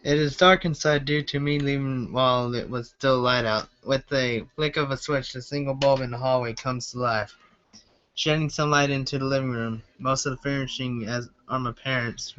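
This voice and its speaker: A man doing an amateur reading of a story aloud, a little halting as he goes. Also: medium pitch, fairly even intonation, fairly bright tone. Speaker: amateur fan fiction reader. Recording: dull lo-fi home recording.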